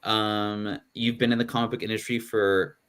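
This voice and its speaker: A man with a fairly low voice hosts a casual live comics panel. He rambles a little, restarting sentences and filling pauses as he moves from guest to guest. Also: flat delivery, a bit bright, smooth. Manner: loose, rambling, many restarts and fillers. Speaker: podcast host.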